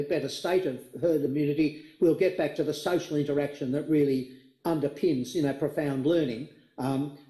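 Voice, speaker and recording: A man's voice: a little high, mellow. An older man speaking as keynote speaker over a video call from his home study, thoughtful and full of restarts. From Australia. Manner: thoughtful, many restarts and repeated words. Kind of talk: answering questions in an online forum. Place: home study with bookshelves, indoors. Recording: roomy video call audio.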